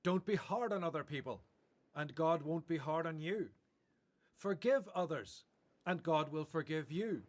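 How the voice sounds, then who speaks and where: An actor playing a calm, authoritative male teacher preaching in a radio play, measured and assured. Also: on the high side. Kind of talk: radio play acting, sermon delivery.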